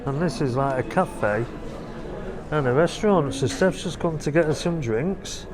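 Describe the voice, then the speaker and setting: A man's voice: on the high side, lively intonation, unhurried, crowd noise. A man hosting a family vlog, giving a loose, chatty walking tour inside a theatre while filming on a handheld camera. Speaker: family vlogger host. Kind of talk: casual walking tour narration. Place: inside a theatre, foyer and private box.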